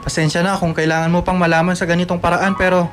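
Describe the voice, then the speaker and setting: A man's voice: sits high, flat delivery, fast, a bit bright, faint music behind. A man playing an apologetic middle-aged uncle in a radio drama, speaking carefully with hesitant, pleading delivery. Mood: hesitant, explaining. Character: apologetic middle-aged uncle Larry, gently confessing a secret.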